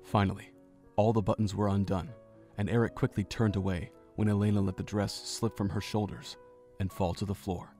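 A man narrating a fan audio drama in an even, measured reading voice. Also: fairly low pitch. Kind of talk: scripted story narration. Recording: home narration with faint music.

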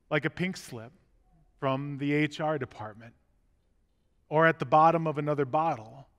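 A middle-aged pastor preaches in an easy, conversational way, tossing rhetorical questions to his congregation. His voice sits high for a man, and it rings with the long reverb of a big sanctuary. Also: fairly bright tone. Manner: gestures while walking about the chancel. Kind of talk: sermon. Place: large church sanctuary, indoors. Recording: long reverb of a church hall.

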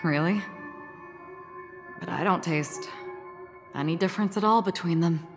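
A woman playing a composed, enigmatic wandering swordswoman in a video game, speaking in a measured, formal, understated way. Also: on the low side, natural voice. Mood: mild, polite. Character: enigmatic, composed wandering female swordswoman, polite and quietly grave. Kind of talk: dramatic video game voice acting. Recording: music behind the voice. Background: background music.

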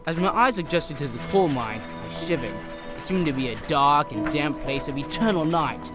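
Grave young mine boy, animated delivery by actor. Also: on the high side, normal speed, music in the background, close to an everyday voice. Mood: grave, reflective. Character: earnest young coal-mine newcomer narrating his plight. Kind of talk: audio drama narration.

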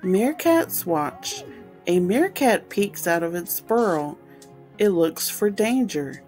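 A woman reading a children's picture book aloud as a storytime host, explaining things simply and deliberately for young listeners. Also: mid-range voice, huge pitch swings, a little mellow, faint music behind.

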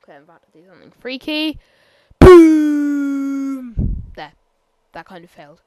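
Woman, higher voice, casual gameplay voiceover; rambling, hesitant, apologetic. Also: fairly even intonation, a little slow. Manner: quiet, sometimes bursts out loud. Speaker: gameplay commentator. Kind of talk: casual game tour voiceover.

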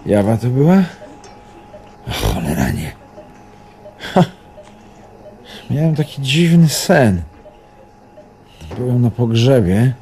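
An actor playing a weary, disillusioned old man near death in a radio drama, brooding and halting, with a husky, gravelly voice. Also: mid-range voice, very animated, dark tone. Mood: confused, dazed. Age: older.

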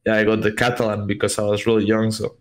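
Young man, low-pitched. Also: monotone, fast talker. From Portugal. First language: Spanish. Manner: rambling, many fillers and restarts. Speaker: interview guest, esports player. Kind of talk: casual interview over video call. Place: small room at home. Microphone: headset on video call.

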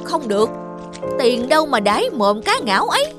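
A woman voicing a greedy, stingy old male landlord in a cartoon, gruff and bossy. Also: high-pitched, wildly swooping pitch, moderate pace. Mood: scolding. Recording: studio voice with loud music.

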